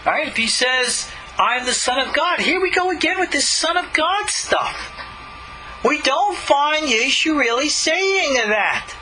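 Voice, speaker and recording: A man's voice: extremely high pitch, expressive, measured pace, pushed and bright, slight background noise, rough recording. A man speaking as a rabbi and teacher, giving emphatic, argumentative scripture commentary full of rhetorical questions.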